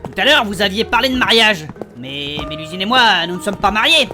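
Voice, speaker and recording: A man's voice: high-pitched, sing-song, brisk, over music. A man playing a touchy, grumbling adventurer in a comedic fantasy audio drama, blunt and colloquial. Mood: uneasy.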